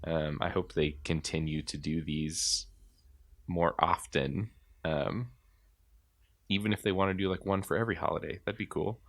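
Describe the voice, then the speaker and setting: A man with a very low voice hosts a podcast in loose, casual conversation. He rambles, trails off and restarts his thoughts, leaning on "like" and "um" as he works out what he means. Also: steady tone, normal speed. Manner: rambling, hesitant, lots of fillers. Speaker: podcast host. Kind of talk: casual chat.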